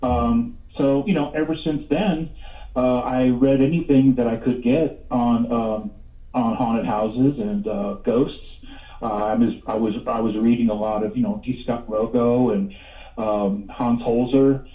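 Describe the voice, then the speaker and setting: A man in his fifties telling stories as an interview guest in a halting, rambling way, repeating words as he goes. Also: mid-range voice, fairly even intonation, faint music behind. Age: 50s. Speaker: radio show guest, paranormal investigator. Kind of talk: casual interview storytelling. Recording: tinny phone line, echoey, distant.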